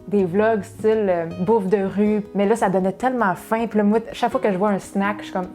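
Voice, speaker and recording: Québécoise woman, 30s, chatty co-host, mellow tone. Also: medium pitch, fairly even intonation, faint music behind. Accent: Québécois French. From Quebec, Saguenay. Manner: chatty, fast, with many fillers and restarts. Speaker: cooking channel co-host. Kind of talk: casual Q&A chat.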